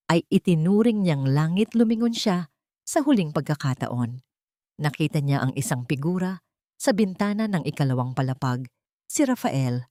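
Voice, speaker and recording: Low-voiced woman narrating a story. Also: warm tone, no echo, clean studio sound. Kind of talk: scripted dramatic audio story narration.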